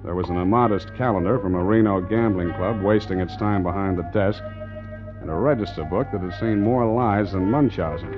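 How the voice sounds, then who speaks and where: Actor as a low-voiced, hard-boiled American sea captain. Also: male voice, brisk, close to an everyday voice. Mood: dry, hard-boiled narration. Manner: clipped, wry hard-boiled delivery. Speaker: actor narrating and playing the lead. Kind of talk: 1940s radio drama acting. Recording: dull old radio recording, organ music.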